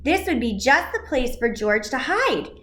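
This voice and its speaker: A woman reading a children's storybook aloud in simple, deliberate phrases, as an educator addressing young listeners. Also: high voice, big pitch swings, pushed and bright, reverberant.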